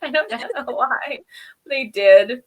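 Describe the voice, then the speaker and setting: Female review co-host, slightly high voice, informal conversational delivery with self-deprecating storytelling. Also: lively intonation, slow, crowd noise, low-quality recording. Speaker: podcast co-host reviewing TV episodes. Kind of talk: casual chat.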